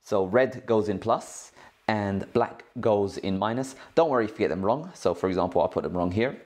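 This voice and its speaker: A man's voice: lowish voice, moderate pace, darkish tone. A man hosting a tech tutorial in a casual, informal, slangy way, explaining things step by step. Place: indoors at a cluttered work desk.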